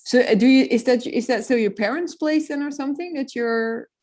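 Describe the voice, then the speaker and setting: A woman's voice: sits high, brisk, darkish tone. Enthusiastic woman hosting. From Netherlands. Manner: enthusiastic, chatty, many restarts. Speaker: podcast host. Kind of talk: casual interview.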